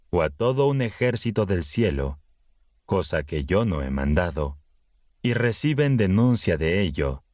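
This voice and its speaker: A man with a very low, deep voice reads scripture slowly and solemnly, weighing each phrase. The recording is dry and close, with no room sound around him. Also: no echo. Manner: slow, solemn, measured reading. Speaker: Bible narrator.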